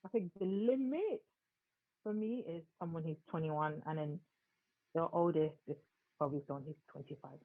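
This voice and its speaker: A woman's voice: low voice, normal speed. A young woman, a podcast guest, chatting casually in an emphatic, joking manner. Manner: emphatic, playful, jokey. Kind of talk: casual chat. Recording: tinny phone-like audio.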